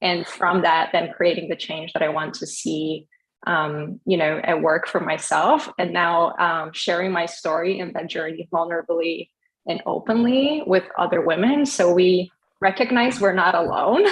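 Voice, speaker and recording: A woman's voice: fairly low pitch, rough recording. A woman from Brazil, speaking as a panelist, telling her career story in an earnest, reflective way with light laughs. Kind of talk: panel discussion, personal story.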